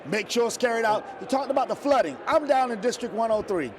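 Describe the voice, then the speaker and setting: A man's voice: extremely high pitch, lively intonation, quick pace, a crowd in the background. A male state representative giving an informal political interview. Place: large indoor statehouse lobby. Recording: noisy public lobby ambience.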